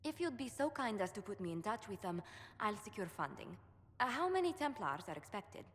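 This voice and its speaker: A woman's voice: medium pitch, very animated, a little fast, a bit bright. A woman voicing a polished, shrewd female diplomat who speaks precisely and courteously in a video game. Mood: measured. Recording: game audio with long reverb.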